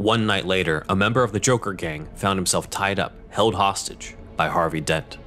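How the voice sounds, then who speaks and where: Deep-voiced male narrator over music. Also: normal speed, bright, forceful tone. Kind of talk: scripted dramatic narration.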